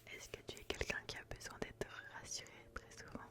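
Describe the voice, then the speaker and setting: A woman speaking in a breathy, unvoiced whisper close to the microphone, gently asking questions in a soothing, repetitive ASMR style. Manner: slow, soothing, repeats words and phrases. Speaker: ASMR host. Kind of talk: ASMR personality-test roleplay, intimate questioning.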